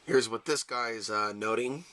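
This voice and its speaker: A man giving unscripted video commentary with halting, hesitant phrasing. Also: mid-range voice, sharp tone.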